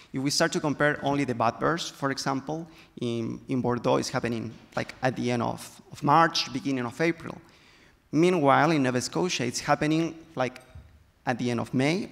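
A man's voice: mid-range voice, gravelly, fairly bright tone. A man in his thirties with a Chilean Spanish accent, a native Spanish speaker, explaining carefully as a panelist on a stage with a handheld mic. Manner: explanatory, careful phrasing in second language. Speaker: panelist, viticulture specialist. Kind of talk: panel discussion. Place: stage in a large hall. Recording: long hall reverb.

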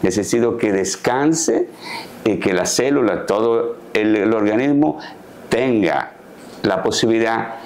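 Man, 60s, low voice, gravelly, calm, explanatory, interview guest, lapel mic. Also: measured pace. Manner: calm, explanatory, gestures while talking. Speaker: guest, tailor. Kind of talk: casual interview talk. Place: indoor living room set.

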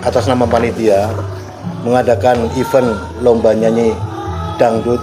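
A man, an event organizer, explaining the aims of the contest in measured speech at a cafe table. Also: medium pitch, little pitch movement, over music.

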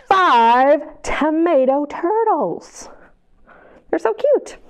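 A woman librarian leading a children's storytime, reading picture books aloud and talking encouragingly to the kids at home through her camera. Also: sits high. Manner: bright, encouraging, talks to kids. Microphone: camera mic.

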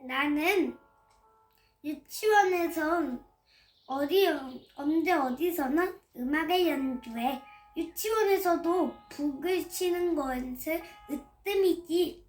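An eight-year-old girl reciting a memorized children's story to listeners in a careful, deliberate way. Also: sits high, faint music behind. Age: child, 8 years old. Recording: roomy echo.

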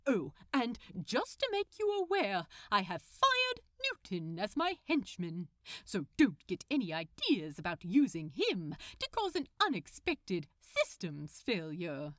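A woman's voice: medium pitch, huge pitch swings, a little slow, fairly bright tone, no echo, clean studio sound. A woman voicing a prim, politely smug and fussy male supervillain in a fan fiction audiobook, with formal, pompous, articulate speech. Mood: boastful.

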